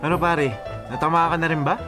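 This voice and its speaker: An actor with a Filipino accent playing an easygoing young office buddy in a radio drama, teasing and coaxing. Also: male voice, a little high, steady tone, fast talker, lightly acted. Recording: music bed underneath.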